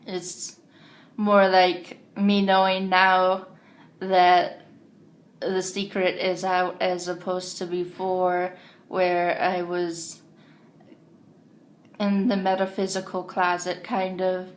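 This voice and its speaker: Hesitant woman with a deep voice. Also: monotone, takes it slowly, pauses often. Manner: hesitant, many ums and restarts, drifts onto tangents. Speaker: vlogger. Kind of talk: casual unscripted monologue to camera. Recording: faint background noise.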